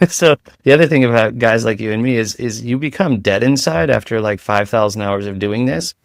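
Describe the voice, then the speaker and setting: A man speaks in a light voice at a middle pitch, piling analogy on analogy as a guest in a relaxed interview. He restarts his sentences often and keeps rolling. The sound is dry and close. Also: vocal fry, no echo. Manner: animated, rapid-fire analogies, rambling restarts. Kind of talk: casual interview chat.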